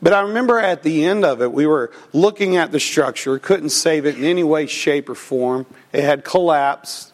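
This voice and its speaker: A man preaching a sermon, reading scripture, praying and telling a personal story, his voice a little froggy from a cold. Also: fairly high pitch. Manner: slow, deliberate preaching. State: recovering from a cold, slightly froggy. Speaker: pastor.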